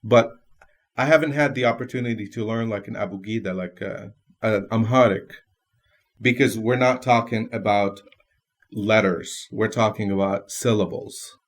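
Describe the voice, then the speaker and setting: A man from Lebanon whose first language is Lebanese Arabic, speaking as a podcast guest in a thoughtful way with pauses and restarts. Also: lowish voice, fairly even intonation. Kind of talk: casual conversation.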